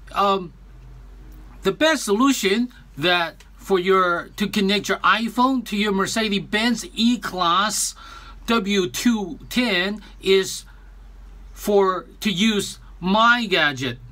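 A man in his forties giving a halting, repetitive product demonstration as presenter and seller. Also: sits high, bright, forceful tone, dry recording. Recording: music playing behind the voice. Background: music.

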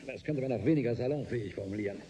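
An actor playing a strained, authoritative space station captain in a radio drama, commanding and exasperated. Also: male voice, mid-range voice, racing, warm tone, lightly acted. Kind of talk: German radio drama acting.

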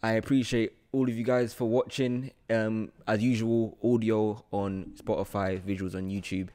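A man's voice: on the low side, a little mellow. A man hosting a casual podcast, effusive and informal, repeating phrases for emphasis into a close microphone. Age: 20s. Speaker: podcast host. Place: room indoors with large window. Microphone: podcast microphone on boom arm, close.

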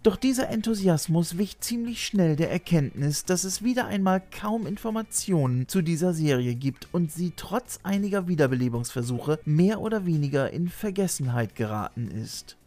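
A man's voice: a little high, expressive, normal speed, few pauses, mellow. A middle-aged man presenting a scripted video essay as host of a retro TV channel, recorded on a desk mic in a small room. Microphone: desk microphone. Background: music.

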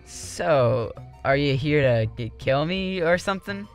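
An actor playing a naive, awkward, excitable teenage boy in a parody anime dub. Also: mid-range voice, measured pace, soft background music. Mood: baffled.

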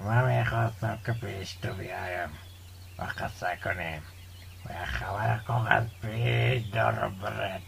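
An actor playing a talkative, sly village gossip with a creaky voice in a radio drama. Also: male voice, fairly low pitch, fairly even intonation, fairly bright tone. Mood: sly, friendly. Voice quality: gravelly, creaky. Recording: noticeable background noise.

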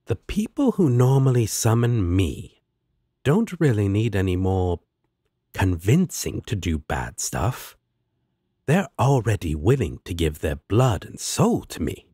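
A man's voice: lowish voice, very animated, unhurried, mellow, slightly rough, dry recording, clean studio sound, full character voice. A man playing a laid-back, sarcastic, protective demon roommate in an audio roleplay, with a drawling manner. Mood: lazy, unbothered. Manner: drawling, sardonic.

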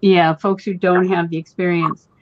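A woman's voice: lowish voice, flat delivery, normal speed, a little mellow, dry recording. A woman moderating a question session over a video call, friendly and organized. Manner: friendly, organized, a few restarts. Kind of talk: moderating online Q&A. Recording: dry dull video call audio.

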